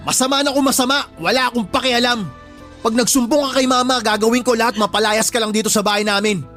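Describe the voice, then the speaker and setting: A man's voice: very high-pitched, fairly even intonation, quick pace, pushed and bright, faint music behind. A man playing a drunk, defiant young son of the household in a radio drama, sneering, insulting and blaming others. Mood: defensive, menacing. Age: young adult. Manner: sneering, insulting, blame-shifting. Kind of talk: Tagalog radio drama acting.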